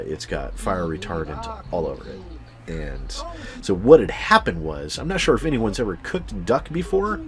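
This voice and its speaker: A man telling a personal anecdote in a casual, sweary, animated storytelling style, as the host of a video. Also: sits low.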